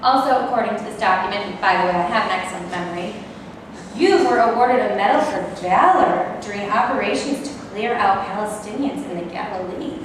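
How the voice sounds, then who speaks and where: A woman playing a sharp-tongued, confrontational interrogator who mocks and goads, in a staged reading of a play. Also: medium pitch, animated, brisk, natural voice. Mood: sardonic. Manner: mocking, confrontational. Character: sharp-tongued woman prosecuting and goading both men. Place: reverberant indoor hall, staged reading. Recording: echoey hall from far off, noisy.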